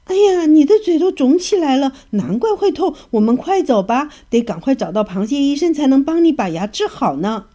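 A woman's voice: sits high, very animated, normal speed, hardly any pauses, mellow, pitched above the performer's own voice. A woman voicing a fretful old grandmother fish in a children's story, anxious and urgent.

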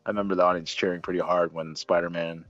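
A man's voice: low voice, flat delivery, fast, fairly bright tone. A man co-hosting a film review, chatting casually and enthusiastically with gushing, emphatic repetition. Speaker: co-host of a film review show. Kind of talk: casual enthusiastic movie discussion. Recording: dull lo-fi roomy sound, music behind.

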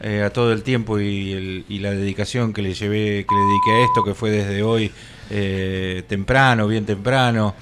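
A man with an Argentine accent joins casual, joking radio banter as a panelist, halting and repeating his words. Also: on the low side, steady tone, noisy background.

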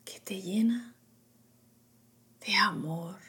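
A woman guiding a meditation in a soft, whispery, soothing voice, in short hushed phrases with long pauses. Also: medium pitch. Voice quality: breathy, whispery. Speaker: meditation guide.

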